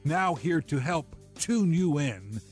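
Slow, measured male radio announcer. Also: fairly high pitch, expressive. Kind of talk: scripted program introduction. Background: soft instrumental music.

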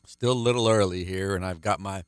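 Baritone radio pastor, dry close sound. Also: male voice, fairly quick, clear, resonant tone, no room sound. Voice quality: deep baritone this morning. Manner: earnest, explanatory, counts things out. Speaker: pastor and radio Bible teacher. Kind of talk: radio Bible teaching.

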